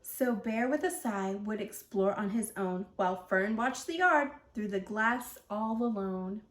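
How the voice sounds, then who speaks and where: A woman's voice: medium pitch, a little slow, echoey room. A woman reading a rhyming children's story aloud as the host of a read-aloud.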